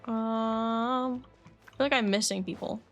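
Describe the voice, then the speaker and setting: A woman's voice: a little high, measured pace, lo-fi sound. A woman livestreaming a game, chatting casually and thinking aloud. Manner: thinking aloud, animated exclamations. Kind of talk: casual gaming stream chat, reading quest dialogue aloud. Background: faint game music.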